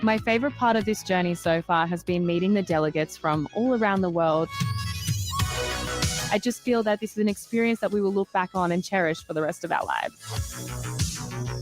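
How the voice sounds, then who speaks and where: A woman's voice: lowish voice, normal speed. A woman in her twenties with an Australian accent, giving a scripted self-introduction. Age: 20s. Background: music.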